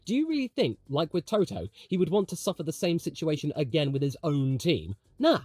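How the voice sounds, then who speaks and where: Animated male motorsport commentator, dry close sound. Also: on the high side, fairly quick, no echo. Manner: emphatic, rhetorical questions, wry asides. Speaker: host of motorsport commentary show. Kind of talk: opinionated scripted video commentary.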